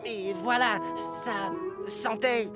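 Vain, whiny cartoon steam engine. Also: male voice, high voice, fairly even intonation, takes it slowly, loud music behind the voice. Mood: sheepish. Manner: boastful, whiny, theatrical. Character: vain, squeamish red steam engine, male. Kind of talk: children's cartoon dub acting.

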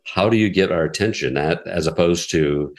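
A middle-aged American man, a radio executive, answering interview questions in a measured, explanatory way with frequent fillers. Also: low-pitched. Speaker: classical radio station president, guest.

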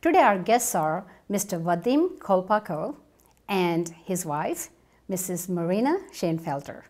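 Middle-aged female TV host; animated, eager, lapel mic in a home set. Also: lowish voice, a little slow. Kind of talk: TV interview. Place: furnished living room.

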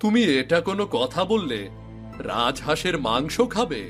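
A man's voice: a little high, very animated, normal speed, fairly bright tone, soft background music. A man voicing a practical, grumbling fisherman husband in a cartoon, mildly exasperated and dismissive. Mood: defensive. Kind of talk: cartoon voice acting.

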